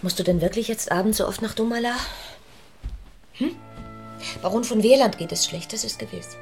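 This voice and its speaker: A female actor plays an anxious pastor's wife in a radio drama. Her mid-pitched voice is hesitant, reproachful and pleading, with faint music behind it. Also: moderate pace, gravelly, darkish tone, close to an everyday voice. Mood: worried, probing.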